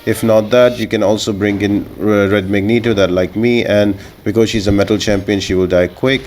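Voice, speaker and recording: A man's voice: on the low side, fairly even intonation, moderate pace, darkish tone. A man giving informal, instructional commentary as the host of a video game guide. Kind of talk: gameplay tips commentary, explanatory. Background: music.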